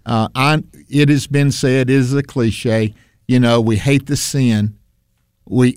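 A preacher co-hosting a faith talk show, loud and passionate, leaning into his words the way he would from a pulpit. His voice sits at a middle pitch. The sound is close and dry, with no echo. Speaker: preacher and radio co-host. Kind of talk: conversational faith discussion.

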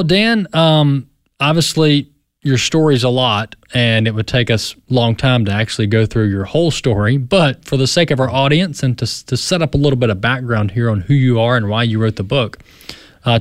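A man's voice: mid-range voice, normal speed, no room sound, studio quality. A man hosting a radio talk show, introducing a guest and asking long, rambling questions with fillers. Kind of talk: radio interview.

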